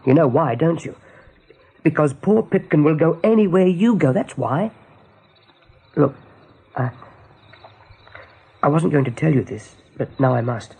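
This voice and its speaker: A man's voice: on the high side, expressive, a little slow, vocal fry, pauses often, mellow, husky, heavily acted. An actor playing an anxious young male rabbit seer in a radio play, urgent, hesitant and pleading. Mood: grave, pleading. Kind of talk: radio drama acting.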